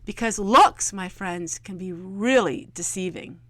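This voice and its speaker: An American woman vlogger sharing a personal, reflective monologue with viewers in an earnest, gently preachy manner, often posing rhetorical questions. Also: medium pitch, measured pace, no echo, studio quality.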